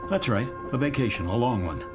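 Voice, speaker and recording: A man's voice: mid-range voice, animated, brisk, over loud music, close to an everyday voice. An American actor playing a weary, distracted middle-aged commuter in a radio drama, polite and hesitant as he speaks. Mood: resigned. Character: weary, distracted married male commuter, wistful and evasive.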